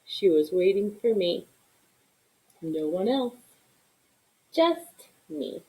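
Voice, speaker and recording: A woman reading a children's story aloud in an expressive style, with deliberate, dramatic emphasis. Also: medium pitch, low-quality recording. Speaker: storybook reader.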